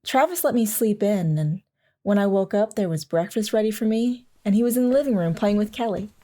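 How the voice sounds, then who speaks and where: A woman playing a composed, gentle young mother as a radio guest, telling her story conversationally in an audio drama. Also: mid-range voice, a little fast, close to an everyday voice. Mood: calm, polite.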